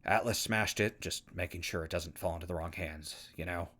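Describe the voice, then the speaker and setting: An actor playing a weary but caring wasteland ghoul who hosts a radio show, talking in casual, sardonic asides in a radio drama. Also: male voice, low voice, moderate pace, sharp tone, no room sound. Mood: weary, matter-of-fact. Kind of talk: post-apocalyptic radio drama.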